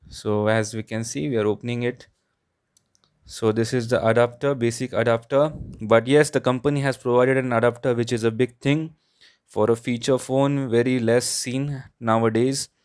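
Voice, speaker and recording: Male tech reviewer, mid-pitched crisp voice, plain explanatory delivery for casual unboxing commentary. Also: a little mellow.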